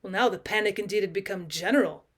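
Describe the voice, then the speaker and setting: A woman's voice: medium pitch, fast talker. A woman narrating a classic short story as an audiobook, reading expressively like a teacher to her class. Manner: expressive, acts out dialogue.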